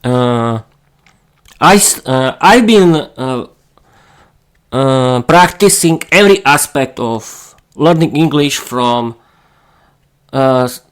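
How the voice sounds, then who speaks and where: Halting Slovak-accented man, slow. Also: mid-range voice, lively intonation, clean tone. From East Slovakia. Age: 30s. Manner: halting learner English with frequent fillers and restarts. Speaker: podcast host. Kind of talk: solo podcast monologue.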